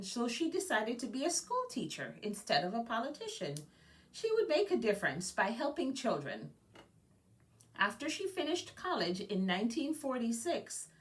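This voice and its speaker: A woman reading a children's picture book aloud, phrasing deliberately and stressing key words. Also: on the high side, very animated, far from the mic. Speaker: guest storybook reader.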